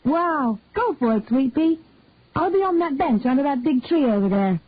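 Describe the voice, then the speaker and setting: A woman voicing a cheerful young mother who sounds bright and a little forced. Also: sits high, animated, moderate pace, voice pushed up. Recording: tinny phone-like dry sound.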